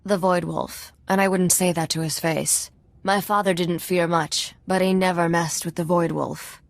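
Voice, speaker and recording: An actress playing a sharp, cautious female starship crewmate in a video game, earnest and sardonic. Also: lowish voice, fairly even intonation, moderate pace, vocal fry, no room sound. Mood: serious warning. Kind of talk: video game cutscene acting.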